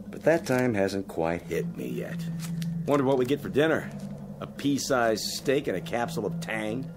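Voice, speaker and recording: Sardonic American astronaut, animated and low. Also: male voice. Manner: sardonic, slangy, wisecracking. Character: sarcastic, griping male astronaut, resentful crewman. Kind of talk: radio drama acting. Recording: dramatic music behind the voice.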